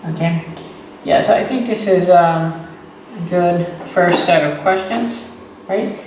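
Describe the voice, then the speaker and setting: A man, teaching beginner English slowly and patiently, repeating phrases and checking in with "okay" and "right". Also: sits high, a little mellow. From Texas. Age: 30s. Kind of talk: slow, deliberate beginner English lesson. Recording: echoey room, voice far from the mic, faint noise.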